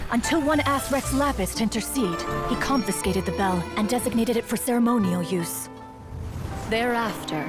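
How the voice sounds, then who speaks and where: A woman's voice: mid-range voice, close to an everyday voice. A woman playing a proud, wistful ancient female immortal in a game, speaking in formal, archaic, pompous phrases. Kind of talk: scripted game dialogue, storytelling. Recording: long hall reverb, music behind. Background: music.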